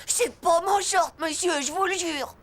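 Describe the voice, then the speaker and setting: A woman playing an excitable, sulky little schoolboy in an animated series, with a slangy, grumbling, dramatic delivery. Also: very high-pitched, measured pace, slight background noise. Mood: pleading.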